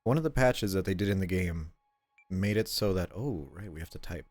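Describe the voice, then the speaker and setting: A stuffed-up man short of breath, rambling casually as he streams a game and clears his throat often. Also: sits low, lively intonation, a little fast, a little mellow, faint music behind. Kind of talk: casual gameplay chat.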